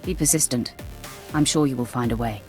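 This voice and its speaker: A woman's voice: rumbling low, a little fast, a little mellow, faint music behind. A woman voicing a deadly, confident Sith warrior in a video game, curt and sardonic, with a threatening manner. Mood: cool, matter-of-fact.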